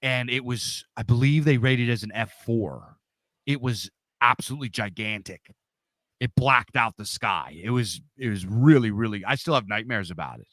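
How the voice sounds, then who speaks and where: Loud guy, 40s, big voice, dry close sound, just chatting as a guest. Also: mid-range voice, moderate pace, no echo. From Pennsylvania, USA. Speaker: interview guest. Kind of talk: casual storytelling.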